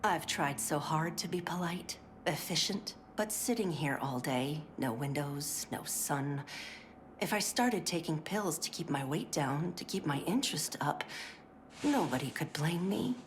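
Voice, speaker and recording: A chirpy 1950s clinic worker, sugary and polite but visibly fraying and pill-addled. Her voice sits a little lower than you'd expect, and she drops into breathy whispers. A steady hiss of background noise runs under her lines, as in a game voice-line rip. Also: unhurried. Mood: frayed. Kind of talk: scripted game voice acting.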